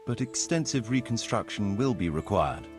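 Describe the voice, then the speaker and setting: An actor playing a formal, courtly medieval land surveyor in a video game, speaking precisely and deferentially. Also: male voice, lowish voice, lively intonation, normal speed, over music, natural voice. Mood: formal, assured. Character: formal, courtly medieval locator Marius, eager planner and surveyor. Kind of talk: video game cutscene acting.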